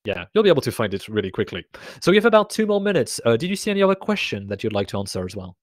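Male host, casual and talkative, slightly hoarse, dry close mic. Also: medium pitch, sing-song, racing, dry recording. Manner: chatty, rambling, self-correcting. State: voice getting raspy. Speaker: conference host. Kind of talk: casual live Q&A moderation.

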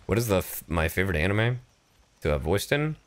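A man's voice: low voice, a little fast, slight background noise. A man doing live gameplay commentary as a streamer, playful and freely swearing. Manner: animated, playful, profane. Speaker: VTuber game streamer.

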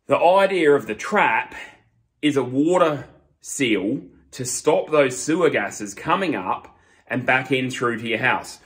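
A man's voice: mid-range voice, a bit bright. A man, a master plumber explaining step by step to camera from a small storeroom. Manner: slow, deliberate, explains step by step while holding up pipe fittings. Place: small storeroom with shelves, indoors. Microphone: camera in front at close range.

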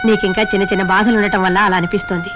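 A woman's voice: mid-range voice, little pitch movement, very fast, over music, close to an everyday voice. A woman playing a soothing, caring mother-in-law. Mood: reassuring. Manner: soothing, reassuring.

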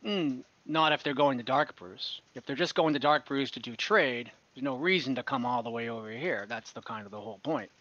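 A man's voice: mid-range voice, brisk, hardly any pauses, fairly bright tone, close to an everyday voice. Man voicing a chatty port merchant. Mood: genial. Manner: chatty, easygoing, talks shop. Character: friendly, businesslike male merchant who owns a port. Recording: dull lo-fi video call audio.

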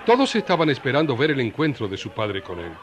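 A man's voice: mid-range voice, huge pitch swings, quick pace, muffled recording, crowd noise. A man narrating a scripted story in a colloquial, storytelling style.

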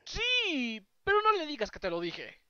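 A man's voice: very high voice, huge pitch swings, a little slow, full character voice. A man with a Latin American Spanish accent voicing a theatrical, smug, flippant clown villain for a video game fandub. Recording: dull, slightly noisy home recording.

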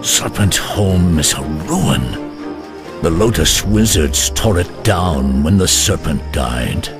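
An actor playing a grave, principled warrior lieutenant with a very husky, rough voice in a video game. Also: male voice, low voice, expressive, takes it slowly, over music, exaggerated voice. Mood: grave, concerned. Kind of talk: video game cutscene acting.

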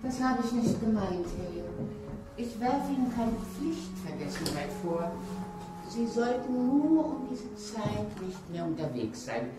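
A woman's voice: mid-range voice, sing-song, unhurried. An actress playing a formal, composed, authoritative lady of the house in a radio play. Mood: stern concern. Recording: very echoey, distant, under loud music.

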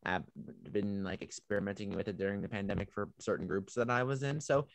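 A man's voice: fairly low pitch, brisk, vocal fry, no room sound. A young man chatting casually as an actor on a video call. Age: young adult. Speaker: amateur actor on a panel. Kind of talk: casual chat. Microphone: video call microphone.

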